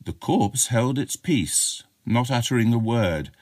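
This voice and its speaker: A man's voice: medium pitch, lively intonation, slow pace, darkish tone, no echo, clean studio sound. A man narrating a short chiller as an audiobook reader, in a dramatic storytelling style.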